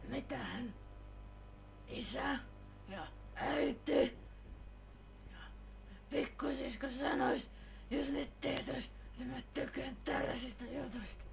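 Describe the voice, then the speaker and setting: A woman voicing an excitable, whiny young boy who gushes dramatically in an amateur comedy cartoon dub. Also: high voice, gravelly, long pauses, slight background noise. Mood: secretive, gushing. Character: excitable young boy, metal fan who secretly loves cute things.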